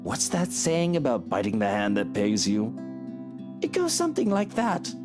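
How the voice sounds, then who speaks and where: Woman doing a very low, smug cheating man. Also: huge pitch swings, moderate pace, exaggerated voice. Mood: menacing. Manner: sneering, condescending, threatening. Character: smug married male cheater, taunting and menacing. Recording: guitar music behind the voice. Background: game music with guitar.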